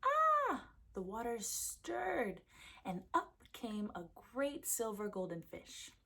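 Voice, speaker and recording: A woman reading a folktale aloud as a storyteller, with expressive narration for children. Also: mid-range voice, takes it slowly, creaky, husky.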